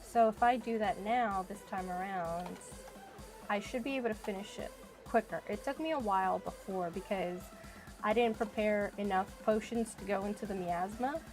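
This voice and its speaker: A woman's voice: medium pitch, moderate pace, music in the background, low-quality recording. A woman streaming a video game, chatting casually and thinking aloud as she plays. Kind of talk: casual live-stream chat while playing.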